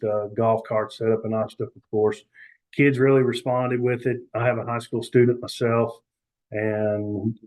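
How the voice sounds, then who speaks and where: A man presenting on a webinar over a video call, halting with many fillers and restarts. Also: mid-range voice, darkish tone, poor audio. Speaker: youth prevention educator.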